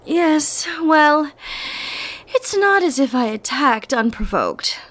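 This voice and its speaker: A woman playing an ancient, immortal giant being in an ASMR roleplay, flirtatious, condescending and dangerous, teasing with breathy whispers. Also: high-pitched, very animated, takes it slowly, fairly bright tone, exaggerated voice. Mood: amused, haughty.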